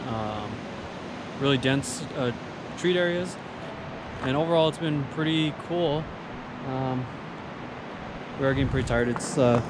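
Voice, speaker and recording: A young man casually narrating a cycling trip to a handheld camera outdoors, talking in a relaxed, hesitant way with many fillers. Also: mid-range voice. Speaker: vlogger. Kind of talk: casual travel vlog narration. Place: outdoors on a mountain trail and in a dark tunnel. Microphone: camera held at arm's length. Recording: loud outdoor background noise.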